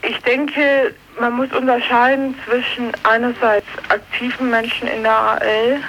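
A man being interviewed about politics on the radio, explaining at length in deliberate, halting phrases. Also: very high voice, little pitch movement, sharp tone. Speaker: interviewed political party member. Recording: clearly noisy 1980s radio recording.

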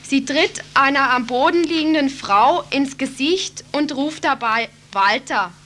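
A woman's voice: high-pitched, measured pace, fairly bright tone. A woman speaking as an activist in a radio studio. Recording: noisy live studio tape.

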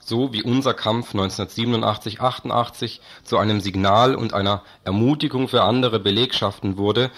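A man's voice: lowish voice, fairly bright tone. A man reads prepared written statements aloud. The delivery is that of quoted text in a radio report.

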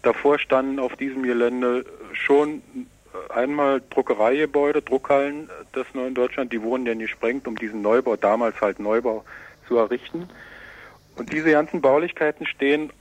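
A man's voice: medium pitch, moderate pace, no echo, low-quality recording. A man giving explanatory interview answers in formal, legalistic wording, hesitating often. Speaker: newspaper representative being interviewed.

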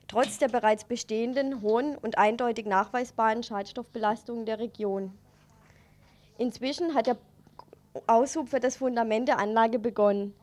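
A woman reporter reading a scripted radio news report in a formal, factual way. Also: a little high.

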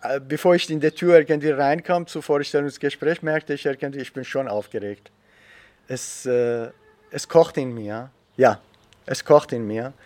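A man recounting a personal experience in an interview, halting and repeating phrases as he goes. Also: mid-range voice, fairly even intonation, darkish tone, no room sound. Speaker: education expert and trainer.

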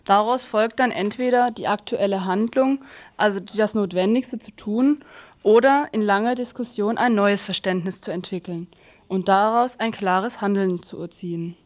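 A woman's voice: medium pitch, normal speed, darkish tone. A woman hosting a political radio programme, reading scripted narration. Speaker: radio host.

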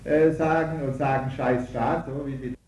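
A man speaking in a halting way, voicing a complaint in broken-off phrases. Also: medium pitch, fairly even intonation, heavy background noise. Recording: roomy sound under loud music. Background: loud music.

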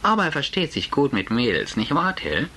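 A man's voice: medium pitch, wildly swooping pitch, moderate pace, pushed and bright, slight background noise, pitched above the performer's own voice, exaggerated voice. A man voicing a nervous middle-aged housewife and mother in an audiobook, anxious and placating, covering with forced cheer.

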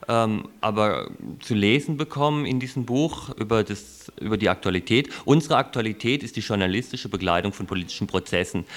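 Male radio editor, low voice, earnest, halting explanatory delivery. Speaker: radio editor presenting a programme. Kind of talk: explanatory studio talk. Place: radio studio.

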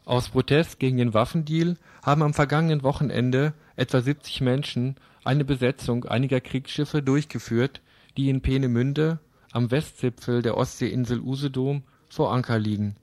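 A man's voice: medium pitch, steady tone, moderate pace, darkish tone, no room sound. A man presenting radio news from a script.

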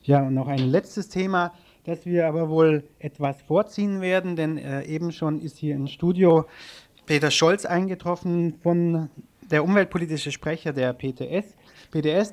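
A man with a slightly high voice presents the news from a radio studio. He moves between reading out items and loosely improvised, somewhat hesitant links. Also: a little mellow. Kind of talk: live studio news overview, partly read out.

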